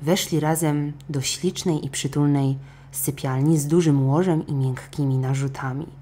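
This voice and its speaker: A woman narrating a children's fairy tale as an audiobook, in expressive storytelling style. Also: low-pitched, moderate pace, slightly rough.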